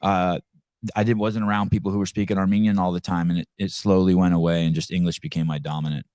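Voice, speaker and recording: A man's voice: low-pitched, level tone, fast, a little mellow, dry recording, clean studio sound. A man from California hosting a casual podcast interview, joking and rambling, often stumbling and restarting his sentences. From Santa Cruz, California. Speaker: podcast host.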